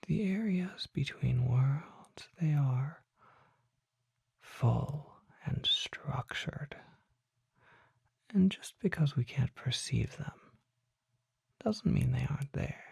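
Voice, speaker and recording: Slow, breathy whispering vampire voiced by an ASMR performer. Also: medium pitch, expressive, a little mellow, no room sound, clean studio sound, heavily acted. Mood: hushed, mysterious. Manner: slow, deliberate, measured pauses, formal wording. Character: mysterious, learned vampire apothecary showing magical treasures. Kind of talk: whispered ASMR roleplay, slow scripted monologue. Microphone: close microphone.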